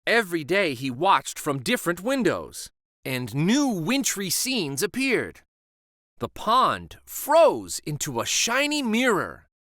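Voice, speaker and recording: A man reading a picture book aloud as a children's story host. His telling is slow and soothing, with a pitch that rises and falls lively and a bright tone. The sound is close and dry. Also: dry recording. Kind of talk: storybook read-aloud narration.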